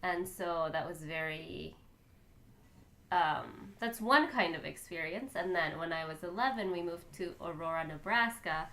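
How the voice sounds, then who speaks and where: A woman from Korea, a violinist, telling stories about growing up in a relaxed, chatty way. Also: lowish voice, a little slow. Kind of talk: casual storytelling chat.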